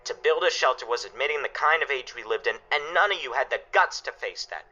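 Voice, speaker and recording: Stern family protector played by a man, radio play: lower-pitched, intensely forceful, grave, emphatic speeches. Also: expressive, fast, dull audio, soft background music. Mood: bitter, reproachful. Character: stern, anguished father guarding his family's shelter. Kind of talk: dramatic radio play acting.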